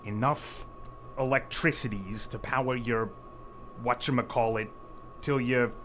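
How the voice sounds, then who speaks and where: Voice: medium pitch, steady tone, unhurried, fairly bright tone, slight background noise, close to an everyday voice. An actor playing a tactful, folksy go-between in an audio drama, persuasive and a little hesitant.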